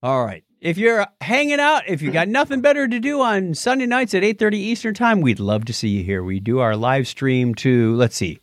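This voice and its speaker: A man's voice: fairly high pitch, sing-song, moderate pace, few pauses, dry recording, studio quality. A man hosting a podcast, chatting casually and playfully through news stories. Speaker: podcast host. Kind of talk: casual news banter on a live-streamed podcast.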